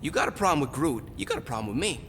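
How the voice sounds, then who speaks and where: A man's voice: mid-range voice, big pitch swings, racing, a bit bright, big-room sound, slight background noise. A man playing a cocky, irritable, scrappy raccoon-like mercenary in a video game, brash and sarcastic. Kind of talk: video game cutscene acting.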